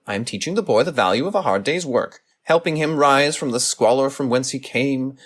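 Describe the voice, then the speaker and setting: A man playing a shrewd, commanding gentleman detective in a radio play, formal, deliberate and probing. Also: medium pitch, close to an everyday voice. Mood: genial authority.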